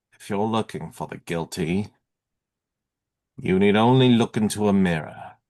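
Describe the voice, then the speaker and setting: A man performing a theatrical monologue as an eloquent, defiant masked vigilante with measured, formal oratory. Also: lowish voice. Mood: accusing.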